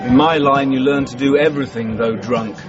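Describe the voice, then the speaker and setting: Casting: male actor as young undercover cop, mid pitch, tipsy and evasive, radio thriller, music and chatter behind. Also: steady tone, a little fast, lightly acted. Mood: tipsy, flippant. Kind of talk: 1980s British radio drama acting. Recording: dull radio recording, busy party behind.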